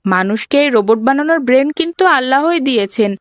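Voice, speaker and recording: Woman as a high-voiced earnest cartoon boy. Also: lively intonation, fast talker, dry recording, clean studio sound. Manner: earnest, explains patiently. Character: pious, kind young boy who owns a robot. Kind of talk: children's cartoon voice acting.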